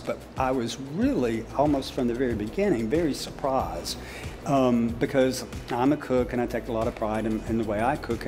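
A man in his sixties gives a sit-down interview indoors as an educator. His pitch sits in the middle of the male range. Music plays clearly behind him. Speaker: special education teacher and cafe program leader. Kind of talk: sit-down interview for a promotional video. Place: indoor room of a cafe.

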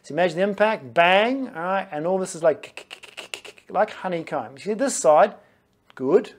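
A man's voice: high voice, animated, a little slow. A man in his forties, a physiotherapist, chatting through his own knee scans to camera in a small clinic office, often checking in with okay and right. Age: 40s.

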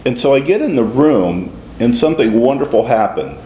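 A man's voice: medium pitch, very animated, normal speed, mellow. A middle-aged man, a deacon, preaching a homily in a conversational way with folksy asides, in a small stone-walled church. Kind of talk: sermon. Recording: echoey church with faint room noise.

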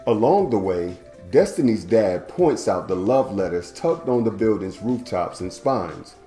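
A man in his thirties hosting a storytime, reading a children's picture book aloud indoors with a playful, animated delivery. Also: fairly low pitch, a little slow, soft background music. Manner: animated, playful, stretches words for young listeners. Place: indoor room at home.

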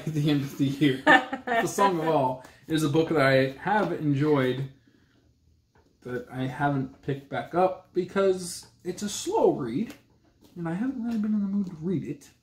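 A man in his thirties chats casually with very lively intonation and a teasing manner. The recording is roomy and echoey, like a camera set a little way off in a room at home. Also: a little high, a little slow. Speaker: book vlogger co-host. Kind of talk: casual bantering chat. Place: small room at home, indoors. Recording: roomy echo from camera distance.

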